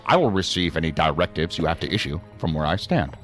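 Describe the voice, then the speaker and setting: A man's voice: a bass voice, animated, fast talker, a bit bright, soft background music. A man playing an unflappable, dryly defiant assistant director in a science fiction audio drama, formal and authoritative.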